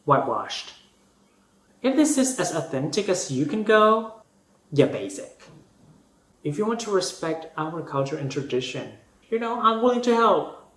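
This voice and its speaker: Animated, high-pitched sassy Thai man. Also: unhurried, pauses often, roomy sound. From Thailand. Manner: sassy, mock-exasperated, dramatic. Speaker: host of a food reaction channel. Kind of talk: sarcastic reaction commentary.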